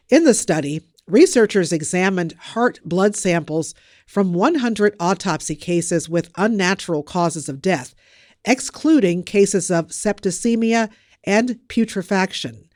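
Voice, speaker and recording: Podcast news presenter, medium-pitched, scripted delivery, very dry close recording. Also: darkish tone, dry recording.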